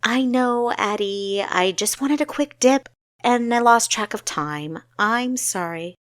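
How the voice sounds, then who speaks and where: A woman's voice: fairly high pitch, slow, no echo, clean studio sound, voice pushed up. A woman voicing a carefree, impulsive young sister in an audiobook, with an eager, exclamatory manner. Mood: apologetic.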